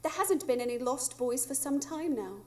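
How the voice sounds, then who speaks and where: A woman's voice: high voice, animated, moderate pace, dark tone. A woman playing a prim, precise English lady, proper and understated, in a live radio drama. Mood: wistful, explanatory.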